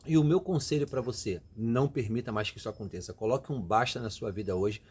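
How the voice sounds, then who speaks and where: Fast-talking husky Brazilian man. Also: mid-range voice, a little mellow. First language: Portuguese. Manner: emphatic, repeats phrases, direct advice. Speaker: vlogger giving advice. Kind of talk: motivational casual talk to camera.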